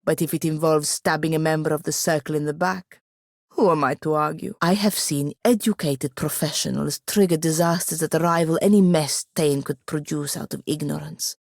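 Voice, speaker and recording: Young female wizard apprentice, low creaky voice, sardonic, haughty, precise, fantasy game dialogue. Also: steady tone, normal speed, no room sound. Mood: wry, determined. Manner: dry, haughty, precise, sharp-tongued. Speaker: video game companion character.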